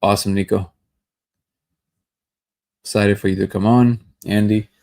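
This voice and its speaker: Gravelly low-voiced man hosting a livestream. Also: a little slow. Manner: relaxed, conversational, greets viewers by name. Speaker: livestream host. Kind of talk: casual live chat reading comments.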